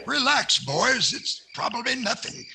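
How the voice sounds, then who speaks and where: A man playing a folksy, reassuring prospector in a halting, creaky voice for 1940s-style radio comedy. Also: extremely high pitch, animated, crowd noise, full character voice.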